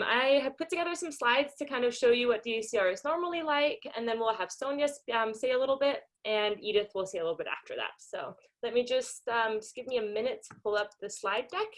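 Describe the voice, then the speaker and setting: A woman's voice: on the high side, lively intonation, moderate pace. A woman from Denmark who grew up in Singapore and the US, hosting a conference welcome over a video call in a casual, informal way with many ums and small laughs. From Denmark, raised in Singapore and the US. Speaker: conference organizer and host. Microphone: computer microphone on a video call.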